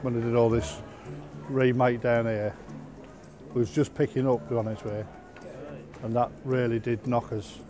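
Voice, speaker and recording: A man's voice: mid-range voice, level tone, normal speed, long pauses. A middle-aged man talking casually in an interview as a market trader. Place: indoor market hall. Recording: music clearly behind interview.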